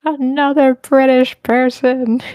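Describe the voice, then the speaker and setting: A woman's voice: high voice, little pitch movement, takes it slowly, darkish tone, dry recording, dull audio. Casual woman moderating, close dry sound. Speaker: host moderating a script feedback session. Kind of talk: casual meeting moderation, reading chat messages aloud. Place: online group meeting.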